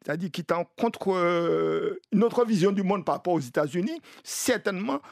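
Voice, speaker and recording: A man with a Martinican accent hosting a live radio discussion, explaining deliberately and repeating phrases as he builds his point. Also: high-pitched, expressive, vocal fry, no room sound, studio quality. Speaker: radio host.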